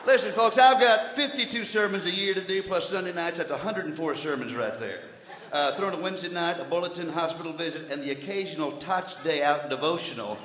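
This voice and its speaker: High-pitched blustering preacher played by a man, echoey hall. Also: brisk, fairly bright tone, lightly acted. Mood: grumbling. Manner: emphatic pulpit delivery, swinging from muttered asides to hollering. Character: blustering, indignant old-fashioned revival preacher, male. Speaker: comic preacher on a variety stage show. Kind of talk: comic mock sermon to a live audience. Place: large indoor theatre stage before an audience. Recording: echoey hall, live stage, audience noise.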